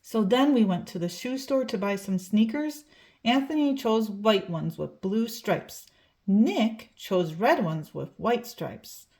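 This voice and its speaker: A woman's voice: mid-range voice, expressive, takes it slowly, darkish tone. A woman reading a children's picture book aloud expressively, drawing out key words for emphasis. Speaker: storybook reader.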